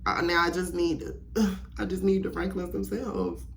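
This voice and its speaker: A man's voice: sits high, very animated, measured pace, gravelly, a bit bright, a little hiss or hum. A young man with a blunt, opinionated, slangy way of talking, giving casual solo commentary as he reads aloud and reacts. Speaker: host of a personal video channel.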